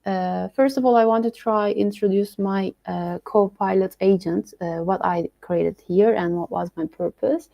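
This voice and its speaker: A woman's voice: mid-range voice, steady tone, a little slow, creaky, few pauses, mellow. A woman from Turkey presenting a tech demo on a video call, hesitant and full of fillers. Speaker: guest presenter. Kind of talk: online meeting chat and tech demo. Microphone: computer mic on video call.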